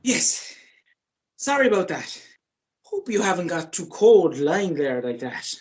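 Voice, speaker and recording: A woman's voice: on the low side, sing-song, unhurried, darkish tone, far from the mic. A woman playing a weary, evasive man in a radio play, with an Irish accent and a hesitant, stammering delivery. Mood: sheepish. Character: weary, evasive, grubby man fending off his lover.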